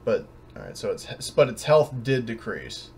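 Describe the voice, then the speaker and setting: A man's voice: medium pitch, big pitch swings, a little slow, loud music behind the voice. A man acting as game master in a casual tabletop game, hesitant and unsure, often thinking aloud.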